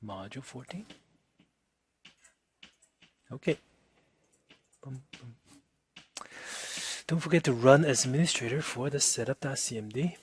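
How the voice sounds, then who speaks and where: A man teaching a casual software demonstration, informal and chatty, often checking in with the listener, with a husky voice. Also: mid-range voice, animated, unhurried, pauses often, a little hiss or hum. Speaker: instructor.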